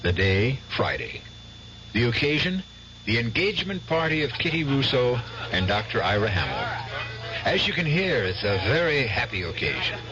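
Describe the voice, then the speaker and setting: A man hosting a radio mystery drama with measured, suspenseful scripted narration. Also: mid-range voice, very animated. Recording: dull old radio broadcast, music behind.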